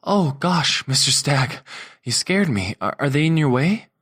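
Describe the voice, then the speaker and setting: A woman's voice: deep voice, sing-song, measured pace, slightly rough, dry recording, studio quality, voice pushed up, full character voice. A male narrator voicing a startled young woman chef who stammers nervously. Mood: flustered. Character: young flustered female chef, about 24.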